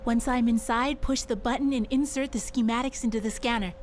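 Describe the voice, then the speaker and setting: A woman's voice: on the high side, steady tone, a little fast, slight background noise, natural voice. A woman playing a brilliant, self-assured inventor with dry humour in a radio adventure serial. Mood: determined.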